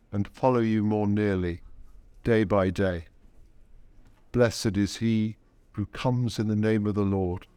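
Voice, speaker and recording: Priest for a church service: man, smooth and unhurried, reverent prayer delivery, close dry sound. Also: lowish voice, a little mellow, no room sound. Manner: reverent, measured phrasing with deliberate pauses.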